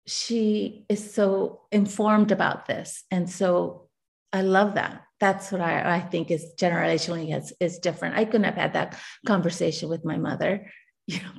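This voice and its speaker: A woman in her forties from Chile, an artist reflecting in a relaxed interview over a video call, laughing often. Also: lowish voice, unhurried, gravelly.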